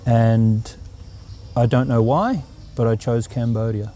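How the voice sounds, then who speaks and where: Guest spot: middle-aged Australian man, dark and even, reflective. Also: mid-range voice, slight background noise. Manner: earnest, reflective, unhurried. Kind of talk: interview answers.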